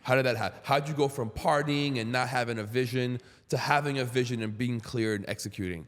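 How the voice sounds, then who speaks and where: A man's voice: medium pitch, fast. A man in his thirties from Brazil who grew up in Queens, hosting a casual podcast interview. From Brazil, moved to Queens, New York at seven. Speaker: podcast host. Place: indoor podcast studio. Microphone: close boom-arm studio microphone. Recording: roomy studio with long reverb.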